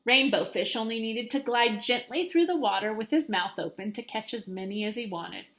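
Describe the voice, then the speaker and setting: A woman's voice: a little high, normal speed, fairly bright tone. A woman teacher reading a children's storybook aloud, expressively and with dramatic emphasis.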